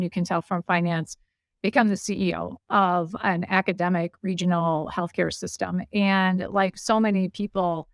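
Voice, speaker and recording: A woman's voice: fairly low pitch, dry recording, studio quality. A woman in her fifties with a Midwestern American accent, telling her career story conversationally as an interview guest, explaining things articulately with frequent "you know" asides. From United States, small town in Illinois. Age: 50s. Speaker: interview guest, healthcare executive.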